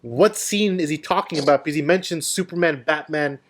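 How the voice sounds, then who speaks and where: A man's voice: a little high, a little fast, slight background noise. A man hosting a casual film discussion, explaining his view and putting questions to the panel. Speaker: host of a film discussion show.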